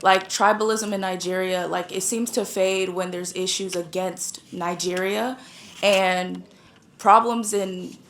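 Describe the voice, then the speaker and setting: A woman's voice: medium pitch, fairly even intonation, a little slow, slight background noise. A young woman from the United States speaking from the audience, thoughtful, with frequent "like". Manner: thoughtful, uses "like" a lot. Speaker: audience member. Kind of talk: statement from the floor.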